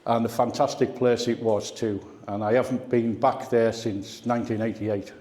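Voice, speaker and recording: A man's voice: medium pitch, little pitch movement, moderate pace, darkish tone. Echoey older man giving an informal talk. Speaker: guest speaker at a radio club. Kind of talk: informal slide talk with personal reminiscence. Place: indoor club meeting room. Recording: echoey, reverberant meeting room.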